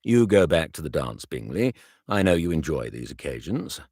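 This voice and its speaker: A man doing a stiff, reserved young gentleman. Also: a bass voice, sing-song, normal speed, slightly rough, no echo, clean studio sound, heavily acted. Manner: clipped, formal, reserved.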